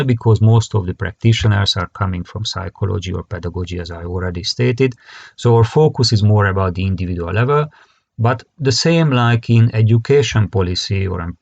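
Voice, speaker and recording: A man with a Hungarian accent giving an academic conference lecture in halting, hesitant phrasing that often repeats words. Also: fairly low pitch, creaky, darkish tone, no room sound, muffled recording. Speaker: conference presenter, career guidance expert.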